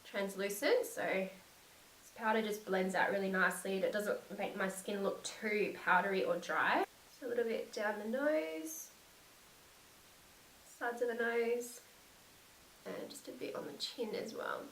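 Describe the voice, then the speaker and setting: A young woman with a slightly croaky voice from a cold, narrating a makeup tutorial and gushing softly about the products. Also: medium pitch, a little slow, distant mic, a little hiss or hum. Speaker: beauty vlogger. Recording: echoey room, set back from mic.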